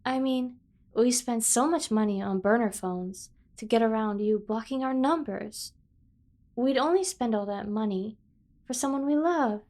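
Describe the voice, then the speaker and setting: Female actor as doting yandere kidnapper sibling for ASMR roleplay. Slightly high voice, earnest, fiercely protective, devoted to excess. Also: unhurried, a little mellow. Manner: earnest, over-the-top devoted lecturing. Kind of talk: scripted ASMR roleplay addressed to the listener.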